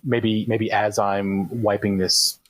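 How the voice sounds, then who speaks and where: A man playing a tabletop game, chatting casually and thinking out loud. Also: lowish voice, flat delivery, takes it slowly.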